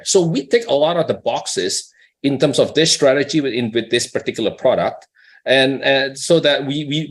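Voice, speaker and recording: A man with a mid-range voice talks as a company executive on an earnings call. He explains things conversationally, posing questions and often checking that the listener follows. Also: medium pitch.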